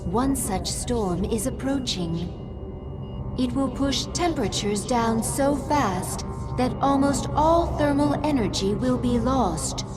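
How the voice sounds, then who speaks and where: A dutiful alien advisor. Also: female voice, on the high side, expressive. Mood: measured. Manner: measured briefing delivery. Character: calm female alien advisor creature, dutiful. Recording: game cinematic with music.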